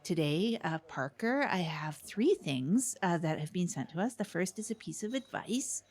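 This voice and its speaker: A woman playing a chatty, upbeat community radio co-host, speaking in halting, improvised-sounding comedy banter. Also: fairly low pitch, expressive, a little mellow. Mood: cheerful announcing.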